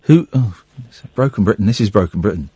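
A man in his forties hosting a radio phone-in, chatting casually and teasing a young caller in a deadpan way. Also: fairly low pitch, a little fast, husky, no room sound. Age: 40s.

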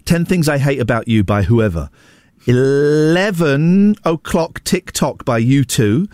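Animated English male radio presenter. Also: medium pitch, dry recording, studio quality. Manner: excitable, rambling, jokey. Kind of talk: casual radio chat. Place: radio studio.

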